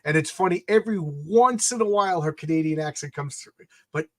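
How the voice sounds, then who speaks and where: A man's voice: a little high, fairly quick, no echo, studio quality. A man from New York hosting a casual chat, rambling, joking and interrupting often. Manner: rambling, jokey, keeps interrupting. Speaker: podcast host.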